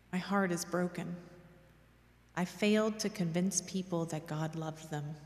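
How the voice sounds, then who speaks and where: Middle-aged woman, devotional reader. Measured, prayerful, very echoey church sound at a lectern mic. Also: on the low side. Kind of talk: solemn scripted devotional reading. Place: large dim church, at a lectern. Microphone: gooseneck lectern microphone. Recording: echoey church with long reverb.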